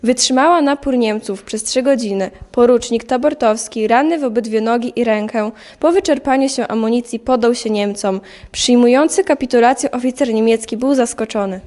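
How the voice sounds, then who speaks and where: A young woman narrates a historical audio drama from a script. Her voice sits fairly high as she tells the story. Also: moderate pace, hardly any pauses, a little mellow.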